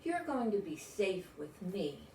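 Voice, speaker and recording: A woman's voice: fairly low pitch, very animated, slow, darkish tone, heavily acted. Woman playing an earnest pleading child, echoey room. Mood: gentle reassurance. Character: earnest, caring child pleading for a scared dog. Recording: roomy, distant mic, faint noise.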